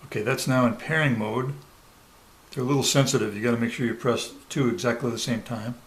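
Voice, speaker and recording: A man presenting a hands-on product tutorial step by step, pausing mid-sentence as he demonstrates. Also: mid-range voice, steady tone. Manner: halting, pauses mid-sentence while demonstrating. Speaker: product reviewer giving a demonstration. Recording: clear background noise, room ambience.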